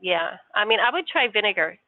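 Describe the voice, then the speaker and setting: A woman's voice: mid-range voice, sing-song, a little fast, dry recording. A woman working customer service, answering politely and patiently with some hesitation. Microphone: phone. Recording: tinny dry phone line.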